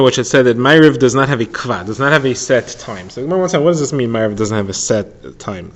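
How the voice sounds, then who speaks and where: A man explaining a daily Talmud page, retelling the story plainly and mixing in Hebrew and Aramaic terms. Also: mid-range voice. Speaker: Talmud teacher. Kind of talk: daily Talmud lesson for listeners.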